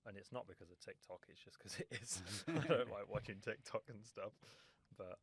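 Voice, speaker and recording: Young man, low relaxed voice. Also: a little fast. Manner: relaxed, rambling, laughs often, swears casually. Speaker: podcast co-host. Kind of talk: casual film podcast chat.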